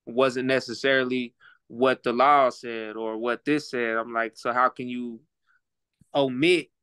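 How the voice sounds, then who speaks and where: A man's voice: medium pitch, fairly even intonation, measured pace, bright, forceful tone, clean tone, no room sound. A man with an Oklahoma accent, talking as a guest on a casual podcast in a laid-back, reflective way, repeating words while he thinks. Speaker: guest, rapper and boxer.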